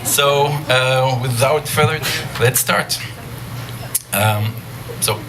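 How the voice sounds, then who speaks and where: A man's voice: medium pitch, takes it slowly, over loud music. A man with an Israeli accent giving a live tech talk to an audience, hesitating often with ums and uhs. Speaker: speaker at a nerd talk night. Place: bar venue before an audience. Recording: noisy live room.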